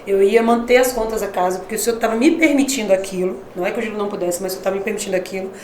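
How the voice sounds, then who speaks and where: Fast-talking woman from Brasília. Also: medium pitch, slight background noise. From Brasília, Brazil. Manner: lively storytelling, laughs often. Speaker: podcast guest, missionary. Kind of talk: casual conversation. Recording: echoey room.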